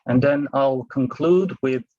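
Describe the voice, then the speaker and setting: A man with a Dutch accent, a native Dutch speaker, giving an academic talk at a book event and often pausing on fillers and restarts. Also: medium pitch, warm tone, dry recording, dull audio. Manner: hesitant, many fillers and restarts. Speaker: panel speaker and author.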